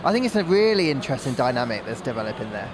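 A man's voice: medium pitch, big pitch swings, racing. A man giving conversational motor racing analysis as a pundit, speaking outdoors in a paddock. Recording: faint outdoor background noise.

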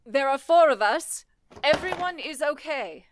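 An actress playing a stern, protective supervisor in a radio play, speaking in a commanding, solemn way. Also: female voice, high voice, animated, a little slow, background noise. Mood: composed. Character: stern, protective woman in charge of frightened operators. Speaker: telephone office supervisor. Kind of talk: radio play acting in 1930s setting.

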